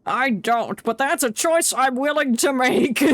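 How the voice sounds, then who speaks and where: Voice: high-pitched, lively intonation, slow pace, no echo. A streamer giving casual live game commentary in a playful, blunt, reactive way.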